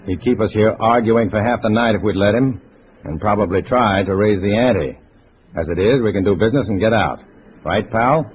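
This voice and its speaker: Low-voiced hard-boiled undercover agent, played by a man. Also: normal speed, slight background noise, lightly acted. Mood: tough, assertive. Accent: American. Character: cool, tough undercover agent posing as a communist. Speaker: lead actor and narrator. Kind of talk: 1950s spy radio drama acting. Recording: dull old radio recording with music.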